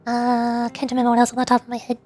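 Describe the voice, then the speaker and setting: High-pitched, chatty woman streamer. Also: monotone, quick pace, a little mellow. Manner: chatty, rambling, playful. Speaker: livestreamer, VTuber host. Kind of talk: casual chat while streaming a game. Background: faint music.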